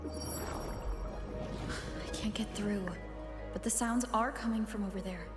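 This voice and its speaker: An actress playing a puzzled, quiet wanderer in a fantasy game, with a hesitant manner. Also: female voice, mid-range voice, fairly even intonation, a little slow, over music, close to an everyday voice. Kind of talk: game dialogue voice acting.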